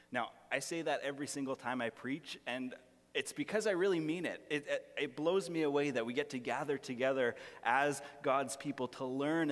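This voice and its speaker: A young man, a pastor with a raspy voice, preaching a sermon full of personal stories in an earnest, self-deprecating, conversational way. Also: mid-range voice, a bit bright. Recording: long reverb of a large hall.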